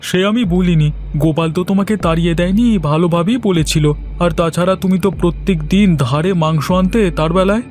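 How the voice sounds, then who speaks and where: A man playing a sympathetic but indignant younger village man in an animated moral story, speaking in a reproachful, emphatic way. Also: high-pitched, quick pace, lightly acted.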